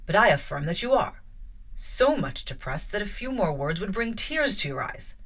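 A woman voicing a brooding, commanding middle-aged gentleman, speaking louder and more forcefully than her narration. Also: medium pitch, sing-song, normal speed, breathy edge.

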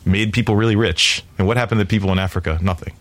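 A man's voice: on the low side, fairly even intonation, quick pace, fairly bright tone, dry recording. A man, founder of a music nonprofit, explaining his mission at length in an interview, earnest and expansive with many fillers. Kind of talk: interview conversation.

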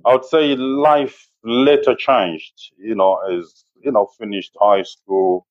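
Zimbabwean guy, 40s, really low voice, takes his time telling his story in an interview, bit muffled sound. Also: fairly even intonation, dry recording. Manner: measured, halting, often says "you know". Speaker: interview guest, safari business owner. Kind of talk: conversational interview, personal recollection.

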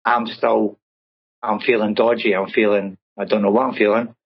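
A man's voice: on the low side, flat delivery, moderate pace, husky, muffled recording. A man in his fifties with a Glaswegian accent, telling his life story as an interview guest in a chatty way, often adding "right?". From Scotland. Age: 50s. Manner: chatty, peppers speech with "right?".

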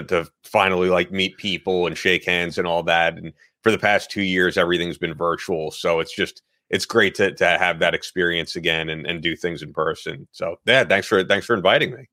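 Very deep, bright-edged male co-host. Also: no room sound, clean studio sound. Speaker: podcast co-host. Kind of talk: casual chat.

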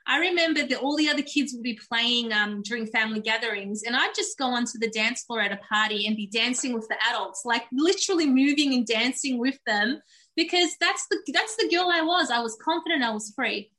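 A woman's voice: on the high side, a little fast, sharp tone. A woman, a Turkish speaker, telling her life story in an earnest, reflective way as an interview guest. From Australia. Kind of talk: candid personal storytelling in a podcast interview.

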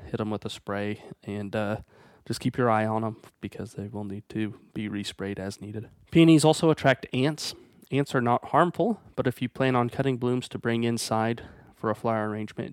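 A man with a stuffed-up voice from a cold, hosting a plant nursery's weekly feature and reading plant guide notes in a steady, informative way. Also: lowish voice, no echo, clean studio sound. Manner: steady, informative, reads from a script.

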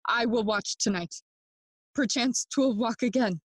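A woman's voice: on the high side, a little slow, breathy edge, no room sound. A woman playing a grieving, bitter young prince in a bilingual Shakespearean audio play, theatrical and impassioned, moving between English and Spanish. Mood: troubled, resolute.

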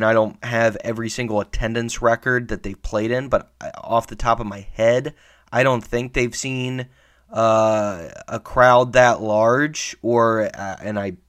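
Slow, low-voiced man hosting a podcast. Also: a bit bright, clean tone. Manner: rambling, frustrated recap. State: early cold, slightly hoarse. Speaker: podcast host. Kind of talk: solo sports podcast commentary.

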